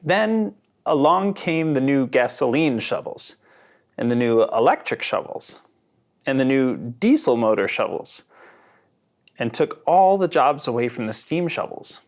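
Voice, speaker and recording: A man reading a children's picture book aloud in an expressive storytelling manner. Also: mid-range voice, measured pace. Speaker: storybook reader.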